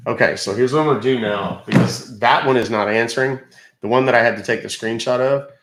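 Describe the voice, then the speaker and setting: A man's voice: mid-range voice, brisk, roomy sound, background noise. A man hosting a live stream who explains things casually and talks straight to his viewers. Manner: explanatory, addressing viewers directly. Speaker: livestream host.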